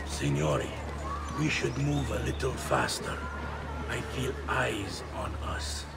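A man's voice: mid-range voice, big pitch swings, slow, gravelly, over loud music, exaggerated voice. An actor playing a courtly, deferential Venetian nobleman in a game, with an Italian accent and formal, obsequious manner. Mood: composed.

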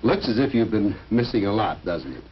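A man's voice: fairly low pitch, very animated, fairly quick. A man playing an earnest, fatherly coach and biology teacher who lectures in 1950s educational film style. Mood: encouraging. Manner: didactic, earnest, explanatory. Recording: muffled, noisy old educational film soundtrack.

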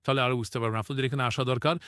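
A young male college student from Bangladesh, talking earnestly to camera outdoors and filling pauses with hesitations. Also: mid-range voice, steady tone, a bit bright, clear, resonant tone, no echo, studio quality. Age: young adult. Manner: earnest, talkative, hesitant with fillers. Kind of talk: casual talk to camera. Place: outdoors in a park.

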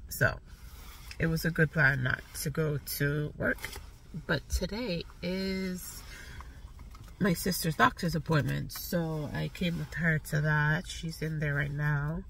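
A woman's voice: low-pitched, slow, creaky, recorded from a distance, a little hiss or hum, low-quality recording. A woman in her thirties with a stuffy nose, chatting casually to the camera from a parked car. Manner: chatty, rambling, soft-spoken with fillers. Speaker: vlogger. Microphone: phone camera on dashboard.

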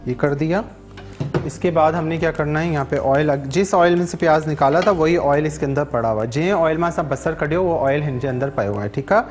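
A man's voice: a little high, a little fast, soft background music. A man in his thirties with a Sindhi accent, hosting a live TV cooking show in a studio kitchen and chatting his way through a recipe. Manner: chatty, switches between languages, checks in with "theek hai?" often. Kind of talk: live TV cooking demonstration with phone-in callers.

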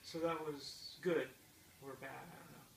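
A middle-aged parent talks casually and patiently, coaching a child through a game with plenty of encouragement. The voice is medium in pitch and comes from far off in an echoey, noisy room. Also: fairly even intonation, unhurried, gravelly. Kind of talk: casual chat while watching gameplay. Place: indoor room at home with a TV. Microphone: distant camera mic.

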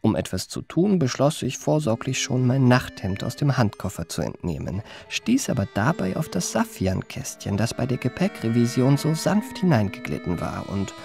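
An urbane confidence trickster tells his memoirs in a literary radio play. His voice is mid-pitched with a noticeable creak, and his phrasing is measured, ornate and slyly genteel. Music plays behind him. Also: sing-song, darkish tone. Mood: wry, composed. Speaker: narrator. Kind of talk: literary first-person narration in a German radio play.

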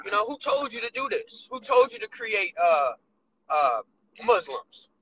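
A man in his thirties vlogging from inside a car, musing loosely with drawn-out asides. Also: sits high, wildly swooping pitch, bright, forceful tone, slight background noise. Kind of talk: casual rambling monologue. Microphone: phone camera on dashboard. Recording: lo-fi phone audio.

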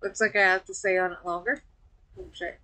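A woman's voice: medium pitch, lively intonation, fairly quick, bright, forceful tone. Chatty giggly woman streaming a game. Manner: chatty, giggly, trails off with fillers. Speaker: VTuber streamer. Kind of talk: casual gameplay commentary.

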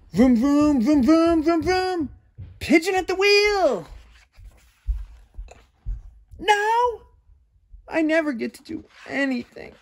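A man's voice: extremely high pitch, pitched above the performer's own voice, full character voice. A man voicing a pushy, childish storybook pigeon who pleads in a whiny, wheedling way. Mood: boastful, then whiny, frustrated.

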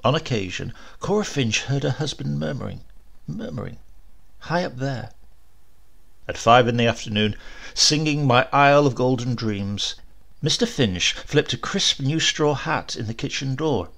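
A man's voice: mid-range voice, lively intonation, normal speed, gravelly, a bit bright. A man narrating a literary story as a dramatic audiobook reader.

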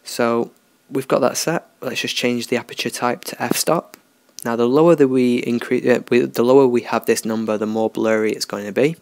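A man talking through a software tutorial in a relaxed, unscripted way, thinking aloud as he works. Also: mid-range voice, normal speed, hardly any pauses, no room sound. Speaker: tutorial host. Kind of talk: screen-recorded software tutorial.

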